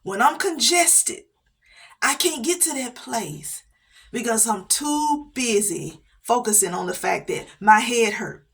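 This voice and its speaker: Woman, faith speaker, somewhat high voice, repetitive preachy delivery with light laughs. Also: lively intonation, slow. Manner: preachy, repeats phrases for emphasis, laughs between points. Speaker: inspirational speaker. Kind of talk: motivational faith talk.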